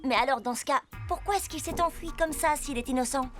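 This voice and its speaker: A woman's voice: high-pitched, a little fast, fairly bright tone, soft background music. A woman voicing a blunt, insistent schoolboy in a cartoon, reasoning in a matter-of-fact way. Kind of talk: animated series dub.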